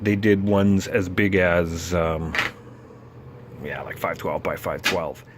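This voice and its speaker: Low-pitched man explaining gear, noisy background. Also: little pitch movement. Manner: halting, thinking aloud as he explains. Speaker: presenter explaining vintage broadcast gear. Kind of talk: casual unscripted technical walkthrough.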